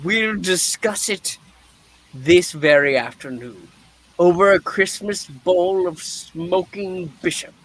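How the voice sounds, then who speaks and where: A man voicing a puppet who plays an excitable, reformed old miser in a theatrical, drawn-out way. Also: sits high, heavily acted. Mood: jolly.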